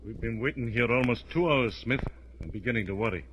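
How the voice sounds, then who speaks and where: An actor playing a dutiful, anxious police assistant in a 1930s radio drama. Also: male voice, mid-range voice, brisk, fairly bright tone, muffled recording, lightly acted. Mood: worried. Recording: noisy old radio transcription.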